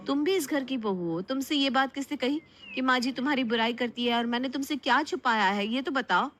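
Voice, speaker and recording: A woman voicing a gentle, bewildered elder sister-in-law in a family story, questioning with affection. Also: high-pitched, brisk, soft background music, pitched above the performer's own voice. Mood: hurt, questioning. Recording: clear background noise.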